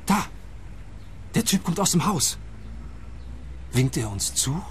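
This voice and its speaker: A pushy young German detective. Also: male voice, a little high, big pitch swings, slow, a little hiss or hum. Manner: pushy, flustered. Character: eager, clumsy young male detective.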